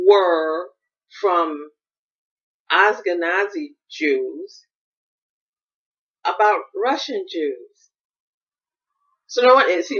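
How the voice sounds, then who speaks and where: A woman's voice: lowish voice, fairly bright tone, breathy edge, muffled recording. An American woman hosting a solo podcast, thinking aloud in a rambling, reflective monologue with frequent restarts. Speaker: podcast host.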